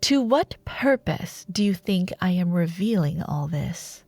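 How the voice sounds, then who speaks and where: A woman playing a seductive, ruthless female dark warlord in a fantasy audio roleplay, speaking deliberately with silky menace and breathy murmurs. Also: fairly low pitch, very animated, no echo, exaggerated voice. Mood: sly, insinuating. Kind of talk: dramatic fantasy audio roleplay monologue, ASMR.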